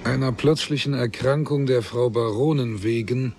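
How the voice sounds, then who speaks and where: A man narrating a literary radio drama in a deliberate, scripted manner. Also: medium pitch, vocal fry, a little mellow.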